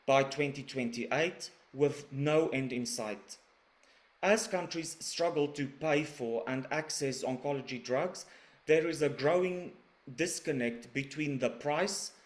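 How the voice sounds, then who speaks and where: A man from South Africa, a radiation oncologist, reading a prepared webinar presentation in a measured, formal way. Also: mid-range voice. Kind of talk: scripted presentation. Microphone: webinar recording.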